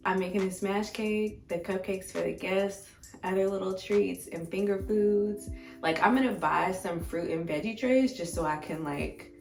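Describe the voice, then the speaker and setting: An American woman with a slightly hoarse, raspy voice, chatting informally and expressively to her viewers in a casual life-update vlog. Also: medium pitch, a little mellow. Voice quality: a little raspy. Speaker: vegan food vlogger and host. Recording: echoey room, distant mic, faint music.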